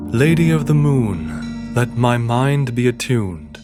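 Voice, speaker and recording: Man acting a solemn, commanding high priestess. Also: very animated, over loud music. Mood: solemn prayer. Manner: solemn, incantatory, drawn-out. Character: stern, commanding high priestess of a witch coven.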